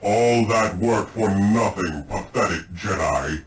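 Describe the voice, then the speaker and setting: Echoey and far off over music, a sinister game villain. He drags out every word in a low voice, full of gloating contempt. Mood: scornful threat. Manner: drawn-out, gloating menace. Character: menacing, contemptuous villain foe of the Jedi. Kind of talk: video game villain acting. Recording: echoey, distant, music underneath.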